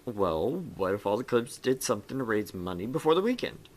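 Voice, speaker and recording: Gamer doing eager awkward student kid from a visual novel, unsure of themself, pitch jumps about. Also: big pitch swings, a little fast, natural voice. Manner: hesitant, awkward. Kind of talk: acted visual novel dialogue.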